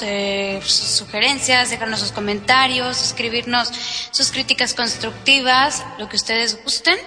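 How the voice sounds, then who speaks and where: A woman with a Mexican accent co-hosts a podcast in a friendly, clear way, with a high, bright voice. She sounds like she is in a reverberant hall, with faint music underneath. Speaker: podcast co-host. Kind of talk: hosting a podcast.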